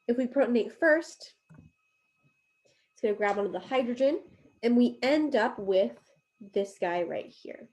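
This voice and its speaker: A woman's voice: on the high side, expressive, unhurried, long pauses, darkish tone. A woman giving a recorded chemistry lecture while drawing, explaining step by step, her voice a little worn from coughing. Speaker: chemistry teacher.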